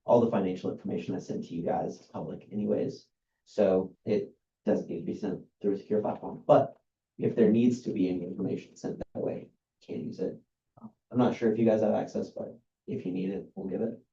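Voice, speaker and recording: A man, an outside accountant, reading a list of services aloud at a meeting in a dull, monotone way, with many ums and uhs. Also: fairly low pitch, a little fast, gravelly. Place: meeting room. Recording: roomy, dull lo-fi meeting recording.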